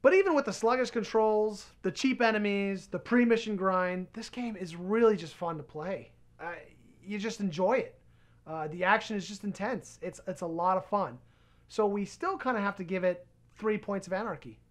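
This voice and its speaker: A man's voice: sits high, moderate pace. A man giving casual, enthusiastic game review commentary.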